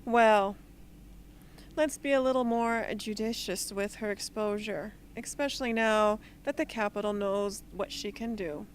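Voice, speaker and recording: A woman's voice: medium pitch, unhurried, a little hiss or hum, natural voice. A woman playing a stern, formal rebel leader with an authoritative manner in an amateur radio drama. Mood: cool, questioning.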